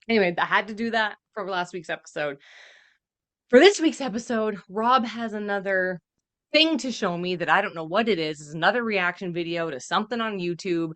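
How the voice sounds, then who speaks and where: A woman's voice: mid-range voice, a little fast, a bit bright. A woman hosting a podcast in a casual, conversational chat style. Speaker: podcast host.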